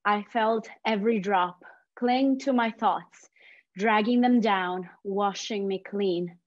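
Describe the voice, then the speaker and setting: Slow Italian-accented woman on dull, dry audio. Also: mid-range voice. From Italy. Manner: slow, careful, gentle reading. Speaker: project coordinator reading a poem. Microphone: video call.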